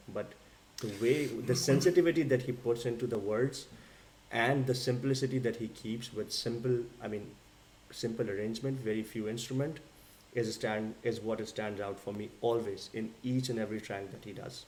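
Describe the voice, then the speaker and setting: A man co-hosting a song reaction, agreeing warmly and often with his partner before giving his own view. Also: on the low side, steady tone, moderate pace, a little hiss or hum, low-quality recording. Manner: agreeable, frequent affirmations. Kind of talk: casual reaction chat.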